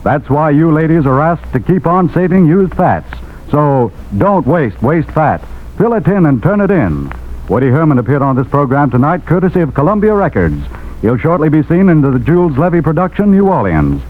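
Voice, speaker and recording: Old time radio ad man, slightly high, super animated, tinny narrow sound. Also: moderate pace. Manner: emphatic, polished salesman delivery. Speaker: radio announcer. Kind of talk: 1940s radio commercial announcing.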